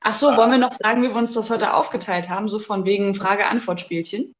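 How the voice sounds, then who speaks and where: A woman co-hosting a casual livestream tasting, lively and chatty. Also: mid-range voice, fast, echoey room, muffled recording. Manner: lively, chatty, laughs easily. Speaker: co-host.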